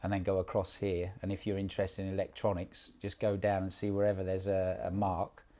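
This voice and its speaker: Man, very deep voice, monotone, dark mellow tone, relaxed mentor talk, lots of ums and repeats. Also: a little fast. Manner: relaxed, repeats words, many fillers. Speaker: job placement mentor. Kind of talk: casual one-on-one advice.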